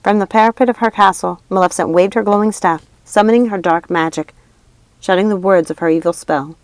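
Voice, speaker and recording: A woman's voice: mid-range voice, fairly quick, no room sound, poor audio. A woman narrating a fantasy storybook as an audiobook reader.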